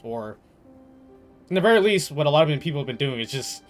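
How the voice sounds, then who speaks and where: A man's voice: fairly high pitch, animated, a little fast, soft background music. A young adult man streaming a game and chatting casually, rambling and emphatic, swearing freely. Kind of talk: casual live stream chat.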